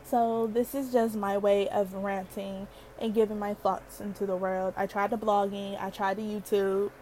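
A woman's voice: medium pitch, flat delivery, a little slow, mellow, slight background noise, poor audio. A young woman in her twenties hosting a casual solo podcast, chatty and playful, laughing often, with a girlish voice. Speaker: podcast host.

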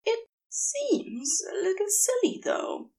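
Casting: anxious, tender male angel for audio fiction, high-pitched, fussy, hushed and hesitant played by a woman. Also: very animated, takes it slowly, recorded from a distance, exaggerated voice. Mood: sheepish, hesitant.

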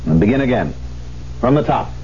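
A man's voice: mid-range voice, expressive, brisk, lightly acted. A man acting in a 1970s radio drama, playing a bitter, proud, aging concert pianist who is blunt and sarcastic. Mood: stern, exasperated. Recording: dull 1970s radio broadcast, faint hum.

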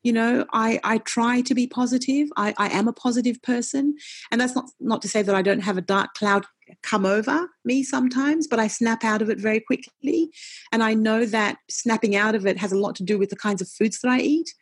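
A middle-aged woman, a wellness coach, talking in a casual interview, forceful and passionate, with many quick restarts. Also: fairly high pitch, husky. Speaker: nutritionist and wellness coach, guest.

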